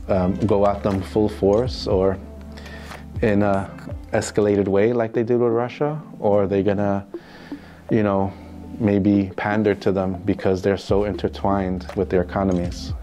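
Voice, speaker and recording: A man with a New York accent gives a casual interview answer. His voice sits low and sounds mellow and relaxed. He hesitates often, with ums and restarts, and faint music plays behind him. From New York City. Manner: hesitant, many fillers and restarts. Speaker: interview guest, financial crime specialist.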